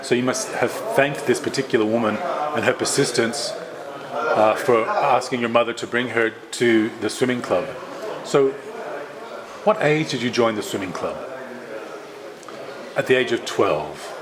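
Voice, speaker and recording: A man interpreting a signed interview aloud, voicing both the interviewer and the guest in careful, measured phrasing with small restarts. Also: medium pitch, a crowd in the background. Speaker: sign language interpreter voicing both sides.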